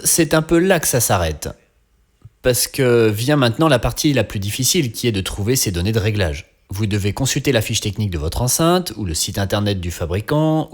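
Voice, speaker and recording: Male tutorial narrator for a training course: husky, very varied intonation, instructional with a little dry wit. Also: fairly low pitch.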